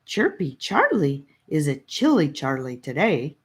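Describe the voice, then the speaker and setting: A woman voicing a playful, sulky, excitable young boy in an audiobook, speaking bluntly with some whine. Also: sits low, a little slow, husky, heavily acted. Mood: cheeky. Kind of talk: audiobook character voice.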